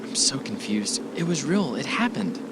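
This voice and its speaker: A man's voice: mid-range voice, sing-song, moderate pace, noisy background, close to an everyday voice. A man acting an affectionate, reassuring young husband in an amateur audio drama, speaking in a casual, slightly hesitant way. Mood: confused, reassuring. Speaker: actor in an amateur radio drama. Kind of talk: naturalistic audio drama dialogue.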